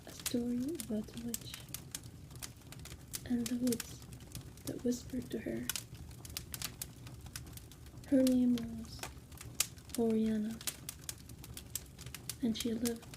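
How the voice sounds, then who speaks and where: A woman whispering an ASMR bedtime story in a hushed, soothing manner, with long pauses between phrases. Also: a little high, background noise.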